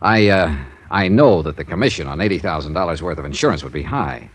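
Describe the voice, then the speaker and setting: An actor playing a tough, wry American insurance investigator in 1950s radio style, dry, blunt and clipped. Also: male voice, deep voice, lively intonation, fast, dry recording. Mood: frank, dry. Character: tough, wry freelance insurance investigator, male, narrating his cases. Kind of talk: 1950s radio detective acting, clipped hard-boiled narration.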